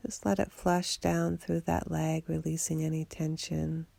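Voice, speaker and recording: A woman leads a guided relaxation in a low voice with very heavy creak. She gives gentle instructions without hurry, soothing and steady. The delivery stays very even in pitch. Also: no room sound. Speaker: meditation guide. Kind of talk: guided body scan meditation for sleep.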